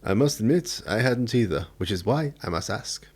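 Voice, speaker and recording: A man's voice: lowish voice, animated, normal speed, darkish tone, breathy edge. Man playing a formal, commanding gang leader. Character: confident, gallant male gang leader. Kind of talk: radio drama acting.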